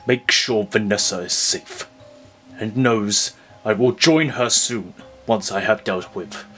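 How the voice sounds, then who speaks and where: A man voicing a hulking, cold male crime boss who speaks slowly and with menacing calm. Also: faint music behind. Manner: slow, deep, menacing calm.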